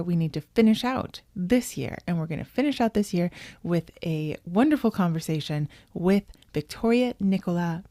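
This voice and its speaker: Lowish-voiced woman hosting a podcast, chatty, says "like" a lot, dry close sound. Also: dark tone, no room sound. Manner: chatty, many fillers and little laughs. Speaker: podcast host. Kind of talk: casual podcast chat. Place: home setup, remote call.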